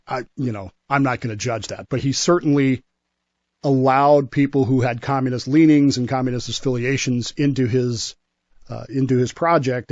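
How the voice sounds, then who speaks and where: Deep-voiced American man discussing history. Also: a little mellow, no echo, studio quality. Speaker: history commentator on a radio show. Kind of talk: conversational radio discussion.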